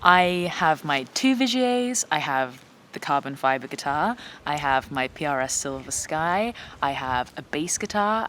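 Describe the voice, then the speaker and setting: A young woman with a fairly low voice talks casually through viewer questions in a vlog. She is chatty and self-deprecating, a working guitarist and singer. Faint background noise sits under her voice.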